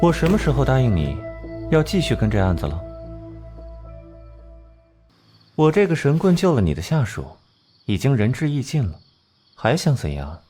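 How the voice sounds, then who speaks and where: A man acting an aloof, sharp-tongued genius consultant in a Chinese animated crime drama, cool and articulate. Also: mid-range voice, brisk, faint music behind. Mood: sardonic, irritated.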